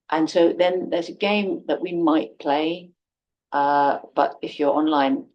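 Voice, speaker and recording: A woman teaching an online class, speaking clearly and evenly and putting quiz questions to her students. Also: low voice, a little mellow, dull audio, slight background noise. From Britain. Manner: clear, measured, poses quiz questions to the viewer. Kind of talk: online class introduction video.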